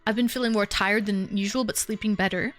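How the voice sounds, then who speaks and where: A woman's voice: medium pitch, fairly even intonation, fairly quick, soft background music. A young woman hosting a livestream, chatting casually with viewers and answering their comments, a little stuffy from a lingering cold. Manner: chatty, rambling, talks straight to chat viewers. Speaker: livestream host. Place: home.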